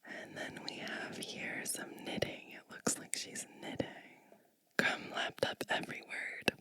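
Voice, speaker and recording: A woman whispers a storybook in a soft ASMR style. Her breathy delivery is slow and gentle, with a crisp, bright edge and pauses between short phrases. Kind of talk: whispered ASMR storybook reading with chatty asides.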